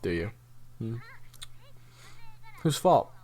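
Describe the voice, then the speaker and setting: A man's voice: lowish voice, sing-song, very slow, a little mellow, heavily acted. A man playing a sarcastic, exasperated former assassin roommate in an audio roleplay, with slangy, foul-mouthed banter. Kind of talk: audio drama roleplay dialogue.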